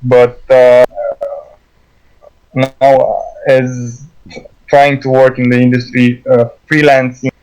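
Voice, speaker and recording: A man with a Romanian accent, a native Romanian speaker, chatting as a guest artist, halting and stuttering with frequent restarts. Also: medium pitch, level tone, darkish tone, clear, resonant tone, poor audio. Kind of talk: casual conversation.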